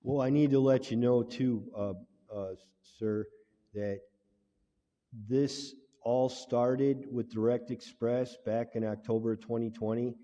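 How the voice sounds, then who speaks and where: A man speaking as a caller lodging a formal complaint, in an emphatic, halting, legalistic way, on a muffled and reverberant recording. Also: mid-range voice, steady tone, mellow. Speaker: caller to a government agency.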